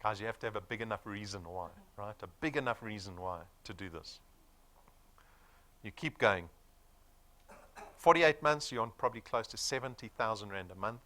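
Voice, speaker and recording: A man's voice: lowish voice, moderate pace, pauses often, bright, forceful tone. A man of forty with a South African accent, giving a motivational business presentation in an emphatic, persuasive manner with rhetorical questions. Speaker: sales presenter.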